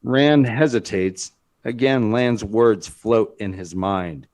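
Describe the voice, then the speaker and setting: Male screenplay narrator, low register, very measured dramatic reading. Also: darkish tone.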